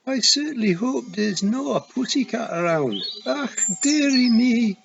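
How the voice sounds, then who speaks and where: A man voicing a tiny, fierce little man, shouting rowdily. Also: very high voice, measured pace, gravelly, voice pushed up, full character voice. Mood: rowdy shouting. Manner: shouting, rowdy. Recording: muffled with steady hiss.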